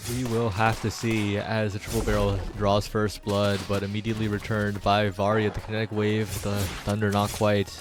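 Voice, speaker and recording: A man with a fairly low voice provides live play-by-play for a video game tournament. He follows the action in long, flowing sentences. Music plays faintly underneath. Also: completely flat delivery, moderate pace, few pauses. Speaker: esports commentator.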